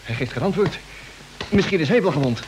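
An actor playing an anxious, quick-tongued male spaceship crewman, nervy and hesitant, in 1950s radio drama style. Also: on the high side, lively intonation, darkish tone, a little hiss or hum, lightly acted, rough recording. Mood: relieved, uneasy.

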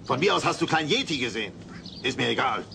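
An actor playing a weary, dismissive husband with dry delivery in a sitcom audio drama. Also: male voice, mid-range voice, big pitch swings, fast, vocal fry. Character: grumbling, weary, long-suffering husband. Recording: noisy old cassette.

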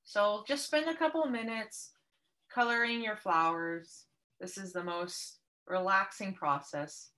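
A woman's voice: medium pitch, unhurried, sharp tone. A woman from Canada leading an online paper craft workshop, explaining each step patiently and kindly over a video call. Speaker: art workshop instructor.

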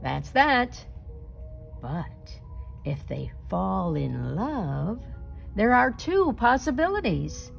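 A woman reading a children's picture book aloud expressively, with deliberate, dramatic emphasis and suspenseful pauses. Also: medium pitch, sing-song, gravelly, faint music behind.

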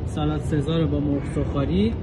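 A man's voice: a little high, heavy background noise. A man in his thirties from Iran, chatting casually as a food vlogger touring a large restaurant. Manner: chatty, upbeat tour-guide narration.

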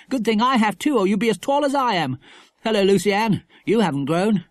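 A woman's voice: medium pitch, a little fast, no echo, studio quality, voice pushed up, lightly acted. A woman voicing a cheeky, confident schoolboy in a storybook, with a breezy, jaunty manner. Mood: teasing, friendly.

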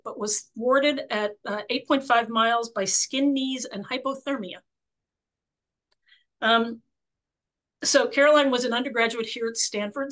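A woman in her sixties with a croaky voice, hosting a book club interview over a video call from her home office, chatty and full of fillers. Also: a little high, rough recording. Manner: warm, chatty, many fillers and restarts.

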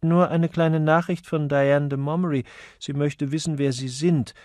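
Eager, coy young woman read by a man, raised pitch. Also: steady tone, normal speed, a little mellow, no room sound, clean studio sound, lightly acted. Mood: chatty, careful. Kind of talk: audiobook character dialogue.

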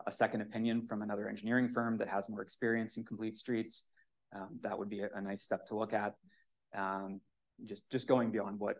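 A man giving a hesitant, pleading public comment at a municipal commission meeting on a podium microphone. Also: on the low side. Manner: hesitant, many fillers, pleading.

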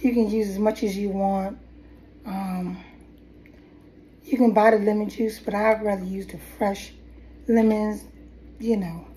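A woman's voice: medium pitch, fairly even intonation, unhurried, long pauses, dark tone, a little hiss or hum, poor audio. Chatty woman with a head cold. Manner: rambling and chatty, with restarts. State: has a head cold, coughing. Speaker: hair vlogger. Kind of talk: casual DIY tutorial. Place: kitchen table at home, indoors. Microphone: phone camera at table.

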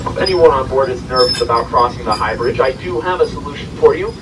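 A man giving folksy, rehearsed tour commentary about the railway over a train loudspeaker. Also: mid-range voice, fast talker, noisy background. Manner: folksy, rehearsed announcer patter. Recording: distant PA speaker with loud music.

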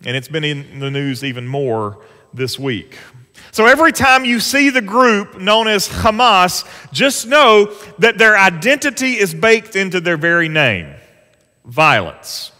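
Bright-voiced pastor preaching in a hall. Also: male voice, sits high, sing-song, measured pace. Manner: earnest, conversational preaching with rising emphasis on scripture. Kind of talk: sermon. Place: church sanctuary stage. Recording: long church hall reverb.

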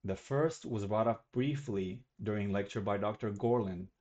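A man's voice: fairly low pitch, normal speed, darkish tone, dull audio. A young man from Algeria giving a recorded student presentation on a webcam, talking casually and correcting himself as he goes. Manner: casual, self-correcting, slightly rambling.

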